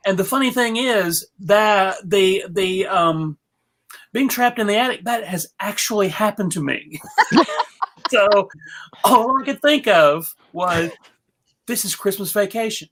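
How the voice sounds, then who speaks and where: Female panelist, unhurried and hesitant, mid-pitched, informal film chat. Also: fairly bright tone. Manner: halting, searching for words. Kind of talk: casual panel discussion about films.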